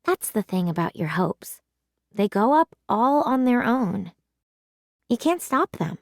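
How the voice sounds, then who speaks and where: Expressive woman reading a children's story, dry sound. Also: fairly high pitch, a little slow, no echo. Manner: animated, emphatic, clearly paced for children. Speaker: read-aloud storyteller. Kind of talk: expressive children's audiobook narration.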